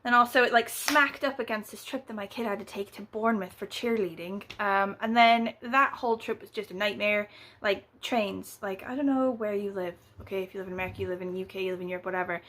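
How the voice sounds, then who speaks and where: A woman's voice: medium pitch, quick pace, bright, forceful tone, a little hiss or hum. A British woman in her thirties, chatting at home on camera as a book vlogger, rambling with lots of fillers and casual swearing. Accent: British English. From United Kingdom. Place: at home, indoors.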